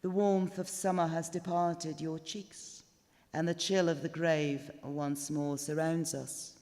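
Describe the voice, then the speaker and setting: A man reading a literary ghost story live as narrator, in a measured, deliberate and dramatic manner. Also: on the high side, flat delivery, darkish tone. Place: indoors, stone chapel. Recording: reverberant chapel live recording.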